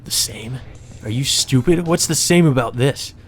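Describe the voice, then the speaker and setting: Man as a stubborn young hero, mid pitch. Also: moderate pace, a little hiss or hum, natural voice. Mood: defiant. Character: defiant, battered young male hero, stubborn and earnest.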